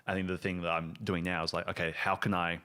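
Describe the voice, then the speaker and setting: A man talking casually as a game master, halting and emphatic as he thinks aloud and describes things. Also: sits low, flat delivery, bright, forceful tone. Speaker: show creator and game master. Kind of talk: casual chat and improvised game narration.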